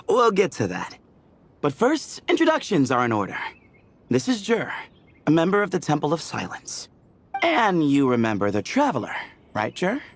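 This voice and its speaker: An actor playing an easygoing, teasing young man who chats playfully, with a gravelly voice. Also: a little high, huge pitch swings, unhurried, pauses often, slight background noise, exaggerated voice. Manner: playful, chatty. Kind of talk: game cutscene voice acting. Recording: studio voice with faint music.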